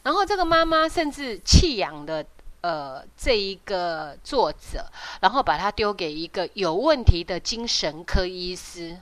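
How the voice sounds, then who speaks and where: A woman with a Taiwanese Mandarin accent hosting a podcast, explaining and retelling in an informal, halting way with frequent tag particles. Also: medium pitch, very animated. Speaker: podcast host.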